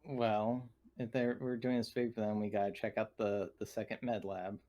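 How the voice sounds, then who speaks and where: A man with a low voice takes part in a tabletop roleplaying game. He speaks casually but decisively, steering what the group does next. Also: flat delivery, normal speed, mellow, lo-fi sound.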